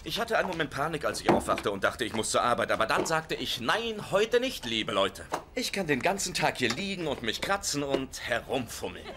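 An actor playing an easygoing, childish husband in sitcom dialogue, playful and prone to exaggeration and excuses. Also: male voice, medium pitch, very animated, fairly quick, few pauses, natural voice. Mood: playful, lazy. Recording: noisy audio with clicks.

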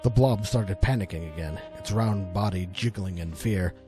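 A man's voice: deep voice, moderate pace, over music. A man telling a scripted story as a dramatic, suspenseful, theatrical narrator.

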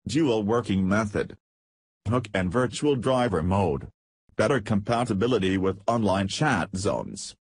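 A man with a fairly low, noticeably rough-edged voice narrates a product demo from a script. The sound is dry and close, with little room in it. He delivers it as short headed segments and feature lists. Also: no echo.

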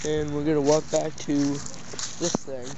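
A man giving a casual, halting, step-by-step hands-on walkthrough as a vlogger, recorded on a handheld camera. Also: on the high side, completely flat delivery. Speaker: vlogger showing a homemade CB radio setup. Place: backyard and indoors beside the equipment. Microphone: handheld camera near the speaker. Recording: dull lo-fi camera audio, loud noise.

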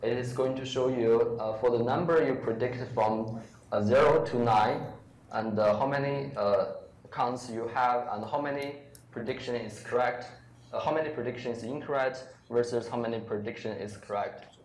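A man presenting a live software demo at a meetup, speaking haltingly with many fillers. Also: mid-range voice. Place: large lecture room with audience. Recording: echoey hall, faint room noise.

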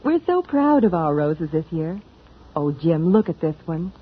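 A woman's voice: low-pitched, sing-song, measured pace, husky, heavily acted. A woman playing a cheerful, gushing young woman in a 1940s radio advert. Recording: tinny 1940s radio broadcast.

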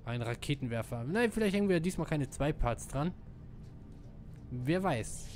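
Lively gamer guy, higher-pitched. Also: normal speed, a little hiss or hum. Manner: slangy, excitable, mixes English gamer words into speech. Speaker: let's player. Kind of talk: casual live gameplay commentary.

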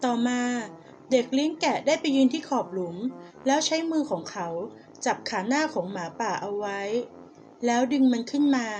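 A woman reading a fable aloud as a storyteller, in a calm, measured manner. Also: a little high.

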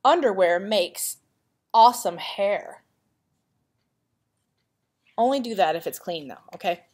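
A woman's voice: mid-range voice, lively intonation, slow, darkish tone. A woman reading a children's picture book aloud in a playful, dramatic manner, with chatty asides to young listeners. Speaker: elementary school vice principal reading to pupils.

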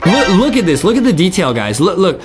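A young man giving excited, repetitive gameplay commentary to his viewers as a video host. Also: high-pitched, brisk. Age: young adult. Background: faint music.

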